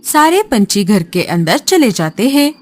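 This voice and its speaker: A woman's voice: medium pitch, very animated, measured pace, darkish tone, a little hiss or hum. A woman narrating a scripted children's horror story as a storyteller. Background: music.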